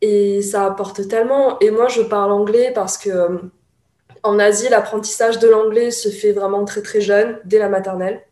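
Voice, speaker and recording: A woman's voice: medium pitch, fairly even intonation, normal speed, mellow, reverberant. A young woman from Taiwan, a guest in a casual chat, speaking thoughtfully with many hesitations. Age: young adult.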